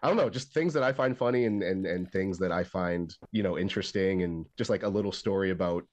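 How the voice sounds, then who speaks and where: Low-voiced young man, rambling and upbeat. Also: quick pace, a little mellow, dry recording, highs cut off. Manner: rambling, frequent restarts and fillers, upbeat. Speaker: podcast guest, comic artist and animator. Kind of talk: casual chat.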